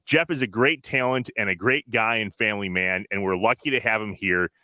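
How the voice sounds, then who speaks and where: A man's voice: fairly low pitch, a little fast, pushed and bright, no room sound, clean studio sound. A man hosting a podcast in a casual, informal and breezy way. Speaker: podcast host.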